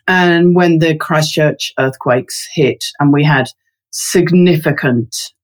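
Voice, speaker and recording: A woman's voice: low voice, takes it slowly. Chatty woman with lots of ums. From London, England. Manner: thoughtful, many fillers. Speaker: interview guest, resilience researcher. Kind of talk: casual interview talk.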